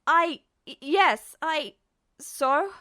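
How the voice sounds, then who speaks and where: A woman's voice: high-pitched, lively intonation, very slow, bright, forceful tone, full character voice. A woman voicing a frightened but defiant teenage boy, hesitant and stammering, in a fan fiction audiobook. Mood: panicked, hesitant. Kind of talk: fan fiction audio reading, character dialogue.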